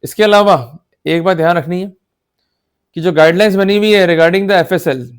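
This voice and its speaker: A man's voice: high voice, moderate pace, clear, resonant tone. A man with an Indian accent giving an explanatory law lecture in a didactic, emphatic manner, often checking that listeners follow. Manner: didactic, emphatic, checks understanding with repeated short questions. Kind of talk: explanatory lecture in Hindi mixed with English legal terms.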